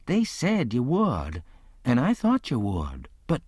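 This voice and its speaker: A man's voice: medium pitch, wildly swooping pitch, takes it slowly, a little mellow, husky, no room sound, studio quality, pitched above the performer's own voice, full character voice. A man doing a cheeky young trickster. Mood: mock-innocent. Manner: earnest, mock-innocent pleading.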